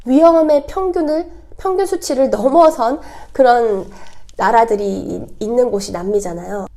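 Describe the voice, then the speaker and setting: A female vlogger from South Korea talks casually to her viewers. Her voice sits fairly high but has a mellow, soft-edged tone, hoarse and stuffed up with a cold. She explains things in a chatty, conversational way. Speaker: vlogger hosting own channel.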